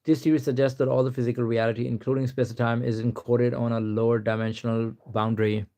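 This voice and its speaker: A man's voice: mid-range voice, level tone, fast, dark tone. A young man from Pakistan with a Pakistani accent, chatting casually on a live stream, rambling and reading answers aloud. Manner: rambling, reads answers aloud, says "to be honest" a lot.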